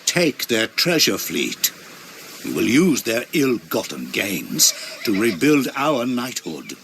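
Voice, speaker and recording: An actor playing a stern, scheming old knight commander in a video game, imperious and formal. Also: male voice, medium pitch, big pitch swings, takes it slowly, gravelly, fairly bright tone, a little hiss or hum, full character voice. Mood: commanding. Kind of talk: video game cutscene acting. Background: faint music.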